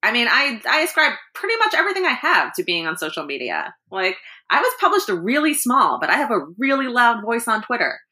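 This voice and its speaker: A woman's voice: a little high, big pitch swings, a little fast, few pauses, bright, forceful tone. A woman, an author and editor, talking animatedly in a casual interview, laughing often and restarting her sentences. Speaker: author and anthology editor, guest.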